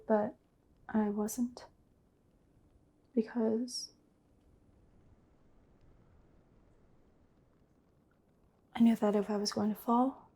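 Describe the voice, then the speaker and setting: A woman's voice: fairly high pitch, dark tone, husky, exaggerated voice. A woman acting a hurt, sardonic younger sister in naturalistic drama. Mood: wistful. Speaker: actor.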